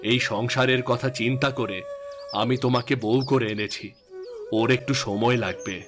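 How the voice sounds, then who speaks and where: Cartoon family drama: middle-aged dad, voiced by a man, reasonable and admonishing, mid-pitched, clear music bed. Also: steady tone, normal speed, lightly acted. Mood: consoling. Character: reasonable middle-aged father and husband, gently chiding. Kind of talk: melodramatic cartoon story acting.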